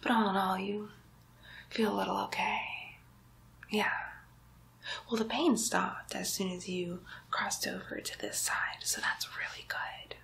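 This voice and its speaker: A woman's voice: medium pitch, steady tone, a little slow, creaky, fairly bright tone. A woman playing a chatty, cheeky demon nurse in a whispered ASMR roleplay, coaxing and playfully condescending. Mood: chatty, mildly condescending. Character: chatty, cheeky female demon nurse greeting souls in hell. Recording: faint background noise, close whispered recording.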